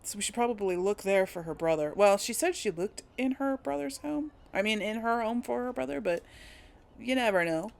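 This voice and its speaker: A woman's voice: a little high, animated, fairly quick, creaky. Casual woman thinking aloud while gaming. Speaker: gaming video host. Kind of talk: casual let's play commentary with in-character replies.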